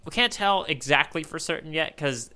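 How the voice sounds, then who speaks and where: Clear, bright-voiced male podcast co-host. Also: on the high side, expressive, moderate pace. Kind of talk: casual chat.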